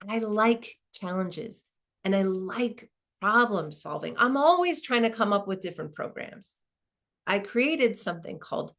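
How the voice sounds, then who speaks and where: A woman's voice: mid-range voice, fairly bright tone. An actress playing a modest woman law school dean, giving a reflective, conversational monologue with small hesitations. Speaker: performer of a dramatized narrative.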